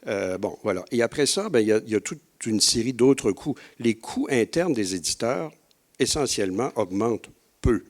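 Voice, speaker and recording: A man's voice: mid-range voice, lively intonation, normal speed. A man explaining methodically in a panel discussion, point by point as a publisher.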